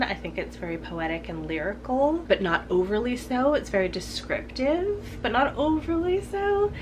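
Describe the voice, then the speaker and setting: A young woman talks straight to the camera in a casual, chatty way and laughs between her sentences. A lingering cold leaves her voice husky, and her pitch sits in a middle range. A faint hiss runs under the recording. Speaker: book vlogger. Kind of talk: casual book tag talk to camera. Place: room with bookshelves behind.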